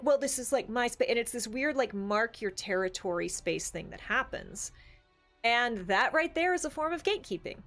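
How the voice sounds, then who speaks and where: A woman hosting a casual discussion show, talking conversationally with lots of "like" asides. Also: a little high. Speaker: show host. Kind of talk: casual panel discussion of tabletop gaming.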